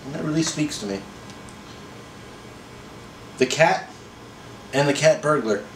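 A middle-aged man in a folksy, unhurried manner, chatting casually and reading a story aloud. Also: a little high. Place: small indoor room. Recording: roomy echo, faint background noise.

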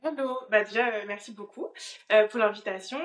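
A woman's voice: mid-range voice, a little fast, roomy sound. A woman in her thirties from France, answering interview questions thoughtfully with many fillers over a video call. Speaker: guest, online business manager.

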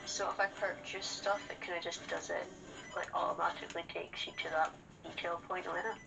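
A woman's voice: fairly low pitch, little pitch movement, a little fast, vocal fry, rough recording. A woman co-hosting a gaming show, chatting casually in a rambling, slangy way and often repeating herself. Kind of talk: casual chat over gameplay. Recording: game music and TV sound behind. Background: video game music from a television.

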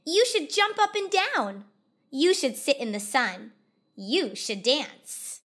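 A woman's voice: sits high, big pitch swings, slow pace, a bit bright, heavily acted. A woman voicing cheery, nosy jungle animals who urge advice in a children's storybook. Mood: cheerful urging. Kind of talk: children's storybook read-aloud.

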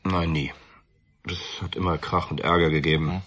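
Husky, deep-voiced bereaved father. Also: fairly even intonation, a bit bright. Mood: defensive. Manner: measured, earnest, self-justifying. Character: bereaved, defensive middle-aged father and architect. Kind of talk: German radio drama acting.

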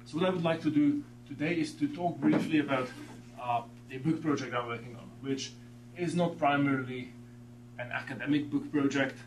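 Slovak-accented man lecturing in an echoey room. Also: medium pitch. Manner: halting, repeats words often while searching. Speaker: guest lecturer. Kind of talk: academic lecture. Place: seminar room with a long table. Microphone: far room camera. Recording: echoey room from far off, background hum.

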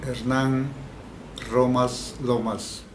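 Slow, halting man in echoey room. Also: medium pitch, flat delivery. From Peruvian Amazon. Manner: halting, with frequent restarts and repeated words. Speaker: healer interviewee. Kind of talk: interview answers. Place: indoor room. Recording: echoey room, faint background hiss.

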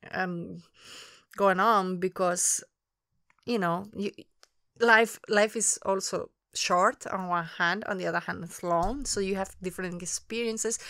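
Spanish-accented woman, smooth and thoughtful. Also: medium pitch, fairly bright tone, no echo, studio quality. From Spain. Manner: thoughtful, searching for words. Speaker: guest interviewer. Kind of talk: reflective conversational interview.

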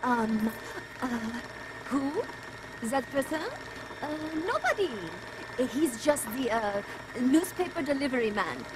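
A woman's voice: high-pitched, very animated, slow pace, pauses often, background noise, heavily acted. An actress playing a flirty, flippant female agent for an assassins' association in a video game, breezy and teasing. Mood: casual, evasive. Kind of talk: video game cutscene acting.

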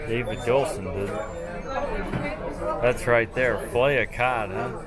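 A man casually narrating a walkaround and meal at a restaurant, talking slowly with fillers on a handheld camera. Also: mid-range voice, steady tone, over loud music. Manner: slow, easygoing, chatty with fillers. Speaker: vlogger. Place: street outside, then inside a restaurant.

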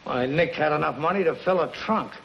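An actor playing a terse, cold-blooded gangster on the run in a hard-boiled 1950s television crime drama. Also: male voice, a little high, fairly quick, creaky. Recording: muffled, hissy 1950s TV soundtrack.

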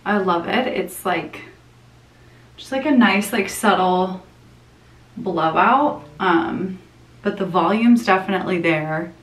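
A woman's voice: mid-range voice, slow pace, pauses often, echoey room, rough recording. A young woman in her twenties chatting casually to the camera in a vlog, full of "like" fillers, filmed at home and in her car. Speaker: vlogger. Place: small apartment bathroom and car.

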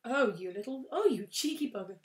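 A young woman casually commentating a game, excitable and prone to shouting at wins and losses. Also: on the high side, brisk. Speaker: let's play host. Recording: roomy echo.

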